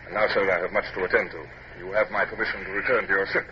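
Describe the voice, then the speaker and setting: An actor with a British accent playing a reserved, dutiful naval captain in a radio drama, clipped and formal in manner. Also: male voice, sits low, steady tone, very fast, natural voice. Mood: measured, reflective. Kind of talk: radio drama acting and first-person narration. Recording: muffled, noisy old radio drama recording.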